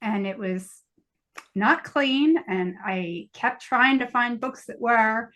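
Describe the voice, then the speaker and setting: Higher-voiced woman, casual interview guest. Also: slow pace, a bit bright. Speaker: novelist guest.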